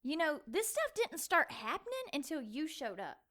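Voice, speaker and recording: A woman's voice: high-pitched, very animated, normal speed, a bit bright. An actress playing a wary, indignant woman in an audio drama, asking pointed questions. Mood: wary, offended.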